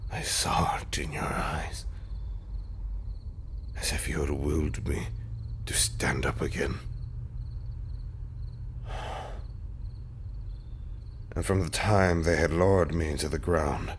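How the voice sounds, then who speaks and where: A man playing a haunted, tender undead husband in an intimate audio roleplay monologue, speaking haltingly and often slipping into breathy whispers. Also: very deep voice, slight background noise. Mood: longing.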